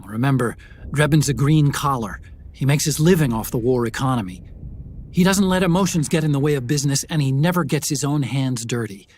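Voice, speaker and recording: A man acts out an analytical game-cutscene adviser with a medium-pitched voice. He explains things in an earnest, articulate, measured way, as in a mission briefing, with faint noise behind him. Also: darkish tone, natural voice. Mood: cautious, persuasive. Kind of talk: video game cutscene acting.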